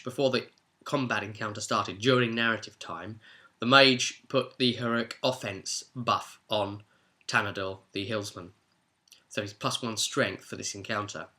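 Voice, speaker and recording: A man's voice: mid-range voice, sharp tone. A man speaking as game master, giving a chatty, rambling, explanatory recap of a tabletop role-play session.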